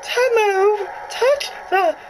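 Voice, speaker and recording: A stiff, bossy female spy chief, put on by a man in a strained, high falsetto. The orders come slowly and drawn out, like game tutorial instructions. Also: slight background noise, exaggerated voice.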